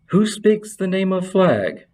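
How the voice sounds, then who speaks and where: A man's voice: sits high, very animated, takes it slowly, darkish tone, pitched above the performer's own voice, exaggerated voice. A man voicing an evil old magician who speaks in suspicious whispers and mutters, in a storybook reading. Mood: suspicious demand.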